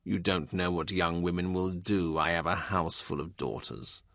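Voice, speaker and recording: A stern middle-aged ex-chancellor from a historical audiobook, acted by a male narrator, devout and scornful. His voice sits low and sounds dry and close, and he speaks curtly with dour relish. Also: steady tone, moderate pace, a bit bright, dry recording. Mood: dismissive. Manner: curt, dour, gloomy relish.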